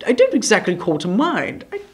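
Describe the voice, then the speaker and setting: A fawning, obsequious lawyer gushes flattery in a raised, fluttery voice, stammering over his words, as a man puts him on. His speech is excited and lively, stumbling to please. It is storybook character acting. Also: high voice, fairly quick, voice pushed up. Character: fawning obsequious male lawyer, middle-aged.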